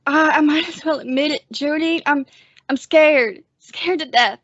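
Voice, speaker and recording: A woman's voice: sits high, a little slow, fairly bright tone, no room sound. A teenage woman playing a timid, hesitant young male deputy in an old-time western radio drama. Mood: scared. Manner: hesitant, stammering. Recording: dull lo-fi radio recording.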